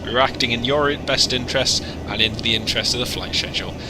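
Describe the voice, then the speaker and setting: A man's voice: medium pitch, steady tone, fast. Chatty man over engine noise. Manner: chatty, joking, rambling asides. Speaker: flight sim streamer. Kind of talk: casual livestream chat. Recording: noisy, with engine hum.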